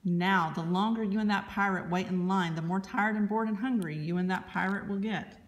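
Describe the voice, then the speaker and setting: A woman reading a children's picture book aloud as a librarian, in expressive, deliberate narration. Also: mid-range voice.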